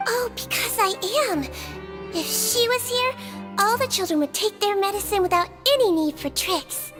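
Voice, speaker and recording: A woman's voice: very high-pitched, normal speed, over music. A woman voicing a small, sweet girl nurse in a video game, speaking in a childlike, polite and earnest way. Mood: wistful. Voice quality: girlish, childlike. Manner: polite, earnest, gently instructive.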